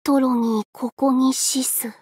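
A woman's voice: high-pitched, fairly even intonation, takes it slowly, darkish tone, breathy edge, dry recording, clean studio sound, full character voice. A woman voicing a shy, self-effacing young android fighter in an anime game, hesitant and earnest, with a tendency to stammer. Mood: defeated, resigned. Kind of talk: Japanese anime game voice lines.

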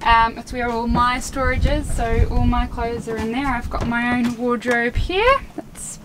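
A young woman from New Zealand gives a casual, chatty tour of her small sailboat's cabin, explaining each spot as she goes. Her voice sits a little high. The camera mic picks her up close in the wooden cabin, with steady noise under her. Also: a little slow. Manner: chatty, explains while pointing things out. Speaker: sailing vlogger giving a boat tour. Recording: camera audio with clear background noise.

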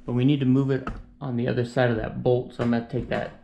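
A young handyman talks through his work in a small indoor room at an easy, chatty pace. His voice sits at an ordinary middle pitch, picked up by a camera a few steps away. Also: quick pace, vocal fry, a little hiss or hum. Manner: explains while working, relaxed and chatty. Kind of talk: casual work narration.

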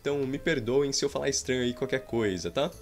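A man's voice: medium pitch, fast talker, soft background music. A man giving playful, casual commentary over a game, adding his own combat sound effects, recorded at a home desk mic. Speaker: gaming YouTuber. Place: small room at home.

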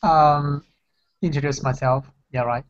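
A man from China with a Chinese accent, giving halting answers as an English learner. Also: a little high, fairly even intonation. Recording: dull lo-fi video call.

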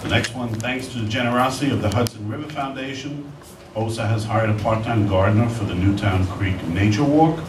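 An echoey, distant recording with clear background noise carries a middle-aged man. His voice is on the low side. He reads out notices at a community meeting as district manager. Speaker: community board district manager reading a report. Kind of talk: reading announcements aloud at a meeting. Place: community meeting room, indoors. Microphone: handheld microphone, recorded by far camera.

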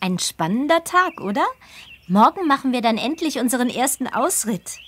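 A woman's voice: fairly high pitch, big pitch swings, normal speed, a bit bright. A woman voicing a friendly, upbeat teenage farm girl in a video game. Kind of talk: scripted video game dialogue.

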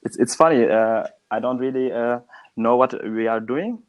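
A young man chatting casually in a calm, fluent manner as a language learner. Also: mid-range voice, normal speed. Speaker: language learner being tested in conversation. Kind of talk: casual chat.

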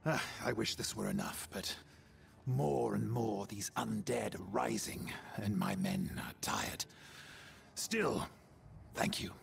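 An actor playing a dutiful, tired guard captain in a fantasy game, frank and authoritative. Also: male voice, lowish voice, takes it slowly, creaky, slight background noise, exaggerated voice. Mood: weary gratitude. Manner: frank, world-weary, authoritative. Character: weary, dutiful male guard captain, plain-spoken soldier.

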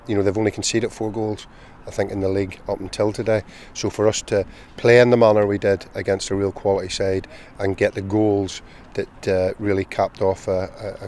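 Northern Irish manager, low flat voice. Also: male voice, slight background noise. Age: middle-aged. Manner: measured, many "you know" fillers. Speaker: football manager. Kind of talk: post-match interview. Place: outdoors at a football stadium pitchside. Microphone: handheld interview mic, close camera.

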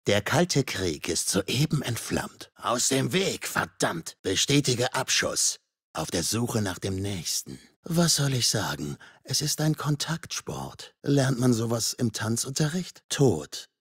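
An actor playing a mocking, menacing masked killer in a video game, with a raspy voice and sardonic, foul-mouthed taunts. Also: male voice, mid-range voice, sing-song, takes it slowly, fairly bright tone, no echo, studio quality, full character voice. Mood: cold, menacing. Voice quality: raspy, husky.

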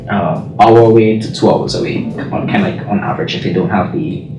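A young man from Trinidad and Tobago speaks in a low voice, reflective and conversational, often checking in with his listener. He sounds a little off-mic in a roomy studio, with music playing clearly behind him. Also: normal speed, distant mic. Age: young adult. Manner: thoughtful, checks in with "right?". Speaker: university student. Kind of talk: casual conversation with a stranger.

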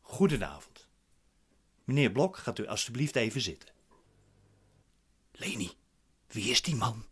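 A man's voice: mid-range voice, very animated, unhurried, pauses often, slightly rough, full character voice. An actor playing a suspicious, impatient man with a curt, questioning manner in a radio drama. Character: suspicious, impatient, commanding male defendant.

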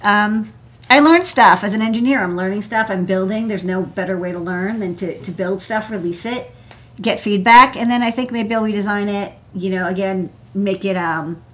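A woman's voice: mid-range voice, moderate pace, fairly bright tone. Woman, American accent, electronics engineer, casual livestream talk, informal, rambling, many asides. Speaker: electronics engineer presenting her work. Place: indoor desk workspace.

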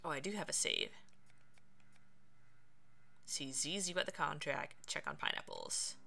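A woman's voice: low voice, huge pitch swings, unhurried, creaky, sharp tone, faint music behind. A woman streamer giving casual, playful game commentary, sometimes theatrical in delivery. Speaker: streamer, let's play host.

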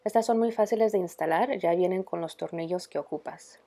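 Female DIY vlogger, 30s, relaxed low voice, informal narration with English borrowings. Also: fairly quick. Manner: casual, mixes in English words. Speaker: DIY home vlog host. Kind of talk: casual narration of a DIY makeover.

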